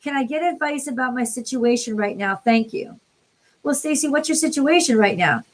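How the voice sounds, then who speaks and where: An American woman from the New York City area reads tarot on a live stream, chatting informally with viewers by name, her voice tiring and getting a little hoarse. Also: sits high, animated, moderate pace. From New York City area, USA. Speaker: tarot card reader, livestream host.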